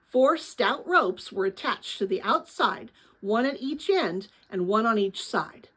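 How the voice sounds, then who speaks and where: A woman reading a children's novel aloud as host, with expressive, careful phrasing. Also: fairly high pitch, measured pace, slightly rough. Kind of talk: dramatic storybook read-aloud.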